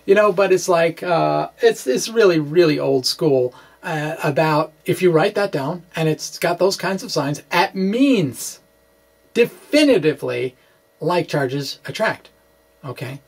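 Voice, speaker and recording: Halting man explaining physics informally. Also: fairly high pitch, animated, vocal fry, slightly rough. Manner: halting, hesitant, full of repeated words and tags like "okay?"; chuckles as he talks. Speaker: physics video blogger. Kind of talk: informal explainer talk to camera. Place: small indoor room with bookshelves.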